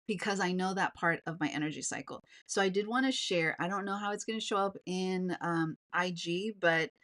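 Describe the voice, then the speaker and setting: A woman in her forties giving a live-streamed coaching talk, calm and fairly even, earnest and personal, often repeating words and restarting sentences. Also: mid-range voice. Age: 40s. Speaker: business coach.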